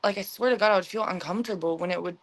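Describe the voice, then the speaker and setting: A young woman with a mid-range voice shares stories as an interview guest. Her delivery is candid and conversational, halting in places, full of slang, "like" and quoted back-and-forth. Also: medium pitch. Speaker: interview guest, former inmate.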